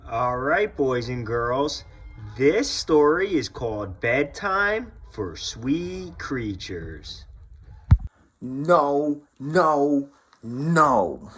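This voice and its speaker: A man, a police officer, talking and reading to children in an expressive way, addressing them directly. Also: mid-range voice, very slow, breathy edge, faint music behind. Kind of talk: children's read-aloud and talk to pupils.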